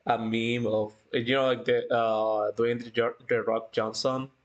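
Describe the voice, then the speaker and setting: A livestream host chatting casually and reading out the chat, halting and often breaking into giggly laughter. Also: medium pitch, flat delivery, highs cut off.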